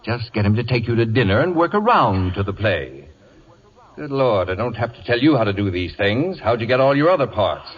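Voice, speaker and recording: An actor playing an imperious middle-aged celebrity with acid wit, in theatrical, sardonic 1940s radio comedy style. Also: male voice, fairly low pitch, very animated, fairly quick, breathy edge, in a large hall. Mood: scheming, brisk. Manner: theatrical, sardonic, mockingly affectionate. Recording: muffled old radio broadcast.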